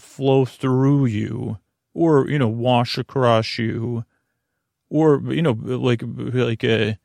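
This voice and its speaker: Creaky-voiced man rambling a bedtime podcast. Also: medium pitch, no room sound, studio quality. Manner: meandering, halting, repeats and trails off mid-thought. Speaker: podcast host.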